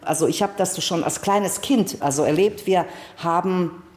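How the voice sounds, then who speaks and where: A woman's voice: fairly low pitch, moderate pace, darkish tone. A middle-aged woman from the former Yugoslavia, speaking German as a second language as an interview guest, telling stories and often restarting sentences in search of words. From Yugoslavia, grew up in Priboj. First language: Serbo-Croatian. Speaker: interview guest, actress and novelist. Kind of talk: conversational interview. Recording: roomy echo.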